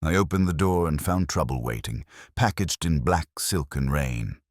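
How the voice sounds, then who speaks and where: A man's voice: very deep voice, animated, normal speed, no echo, studio quality. A man reading a scripted detective story as narrator and host, with a dramatic, wry delivery.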